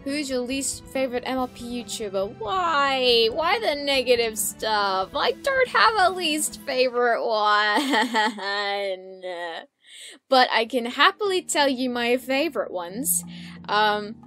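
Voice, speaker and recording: High-pitched woman chatting over music. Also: animated, takes it slowly, bright, forceful tone. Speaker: YouTuber answering viewer questions. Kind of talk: casual solo Q&A chat. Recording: background music behind the voice.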